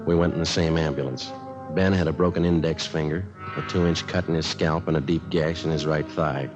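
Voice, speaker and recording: An actor playing a terse, businesslike police sergeant in a vintage radio crime drama, deadpan and matter-of-fact. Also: male voice, very deep voice, brisk, over music. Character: terse, businesslike male police sergeant detective, narrating his case. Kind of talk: clipped deadpan radio crime narration and dialogue. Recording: dull old radio recording.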